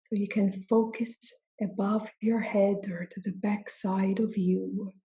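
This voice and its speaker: A woman spiritual teacher leading a guided meditation in slow, deliberate phrases separated by long pauses. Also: mid-range voice, level tone, dull audio.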